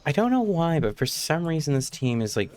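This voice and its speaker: A man's voice: on the high side, big pitch swings, a little fast, a little mellow, smooth. A man giving casual, offhand live game commentary, swearing mildly as he plays. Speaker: game streamer.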